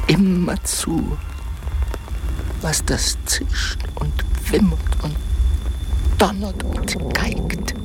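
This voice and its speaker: An actor playing a haunted, paranoid young soldier in a radio play, speaking in halting, broken phrases. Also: male voice, fairly high pitch, low-quality recording. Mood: haunted, uneasy. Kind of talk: German-language radio play acting. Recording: music behind the voice. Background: music.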